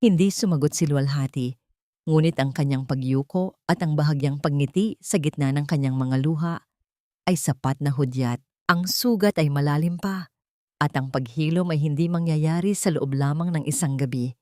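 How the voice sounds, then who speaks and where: Female storyteller for dramatic audio fiction. Low register, close dry recording. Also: normal speed, a little mellow, dry recording. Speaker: narrator. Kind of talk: scripted dramatic story narration.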